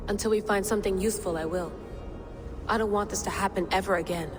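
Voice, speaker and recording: A woman's voice: medium pitch, steady tone, quick pace, over music, natural voice. An actress playing a determined, guilt-ridden young woman in a video game cutscene. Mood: resolute.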